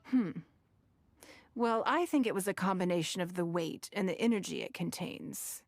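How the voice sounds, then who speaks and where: A woman playing a shrewd, wealthy, coolly commanding businesswoman in a video game, speaking in measured, eloquent, formal phrases. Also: lowish voice, animated, slight background noise. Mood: reflective, composed.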